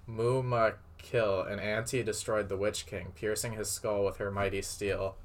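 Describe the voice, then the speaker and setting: A man's voice: fairly low pitch, level tone, normal speed, lightly acted. A man reading the part of an eager, obedient young boy prince in a story, earnest and childlike. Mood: eager, boastful.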